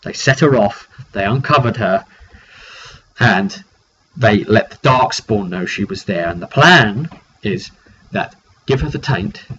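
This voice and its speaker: A man's voice: lowish voice, a little slow, a bit bright. A muffled, noisy recording of a man working as a tabletop game master. He tells the fantasy story informally but with drama, leaning on repetition for emphasis. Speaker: game master recapping a roleplay session. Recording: dull lo-fi recording, clear background noise.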